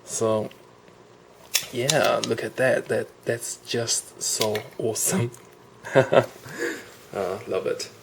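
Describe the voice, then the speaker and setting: A man's voice: mid-range voice, steady tone, extremely slow, vocal fry, long pauses, noisy background. A man with a cold reviewing a toy in a casual, gushing, enthusiastic way, recorded indoors on a camera mic. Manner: enthusiastic, gushing, repeats "awesome" and "really". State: has a cold.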